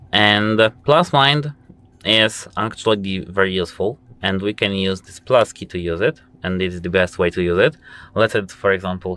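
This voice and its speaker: A man with a very low voice hosts a casual how-to video. He walks through each setting step by step and keeps pointing things out with "as you can see". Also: fairly bright tone. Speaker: tech tutorial host. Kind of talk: casual phone how-to walkthrough.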